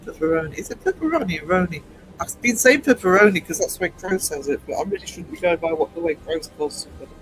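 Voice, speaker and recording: A man's voice: high voice, animated, fast, a bit bright, faint music behind, rough recording. A man in his sixties hosting a livestream, chatting casually and reading viewer comments aloud, teasing and laughing often. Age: 60s. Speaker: livestream host.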